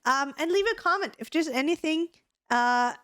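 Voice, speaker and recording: Woman podcast co-host, hoarse and talkative, bright-ish pitch, dry recording. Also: moderate pace, no room sound. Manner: chatty, clears her throat and coughs often. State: hoarse, losing her voice. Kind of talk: casual podcast chat.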